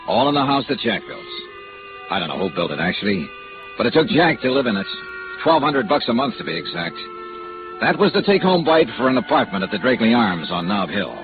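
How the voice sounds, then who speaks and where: A tinny vintage radio recording with music behind it carries a hard-boiled American investigator acted by a man. He is dry, sardonic and slangy, in 1950s noir style, with a highly animated delivery. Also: fairly quick, close to an everyday voice. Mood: wry, brisk. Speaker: lead actor of a detective serial.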